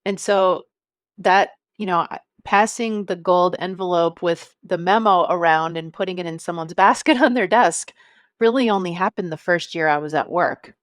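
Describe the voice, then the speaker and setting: A woman's voice: on the low side, moderate pace, no room sound, studio quality. A woman in her fifties, a podcast guest talking about marketing in a friendly, conversational way, laughing easily and thinking aloud with fillers. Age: 50s. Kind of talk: conversational interview.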